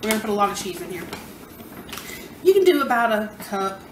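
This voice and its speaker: A woman's voice: medium pitch, very animated, fairly quick, a little hiss or hum. Chatty woman cooking in an echoey kitchen. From American South. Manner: chatty and self-deprecating, talking while working. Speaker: home cooking vlogger. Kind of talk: casual cooking demonstration. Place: home kitchen, indoors. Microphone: camera mic from across the kitchen. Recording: echoey kitchen, camera mic at a distance. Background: clinking pots, pans and utensils.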